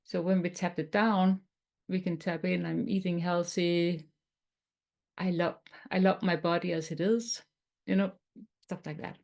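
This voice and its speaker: A woman from Denmark with a Danish accent, teaching tapping in a webinar in a gentle, explanatory way with some non-native phrasing. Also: lowish voice, level tone, measured pace, creaky. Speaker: tapping coach.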